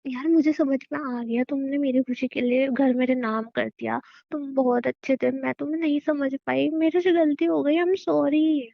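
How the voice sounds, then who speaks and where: A dry, dull-sounding recording holds an actress as a clinging estranged wife in a relationship drama. Her young voice is high-pitched. She pleads remorsefully and insistently, circling back to the same appeals. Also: fairly even intonation, moderate pace, gravelly, hardly any pauses, no room sound. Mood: apologetic, pleading. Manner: insistent, pleading, repeats herself. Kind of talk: Hindi audio relationship drama, naturalistic dialogue.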